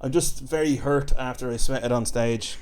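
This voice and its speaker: A man's voice: mid-range voice, fairly even intonation, normal speed, a little mellow, clean tone. A man hosting a podcast, chatting casually and making self-deprecating jokes. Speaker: podcast host. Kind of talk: casual chat.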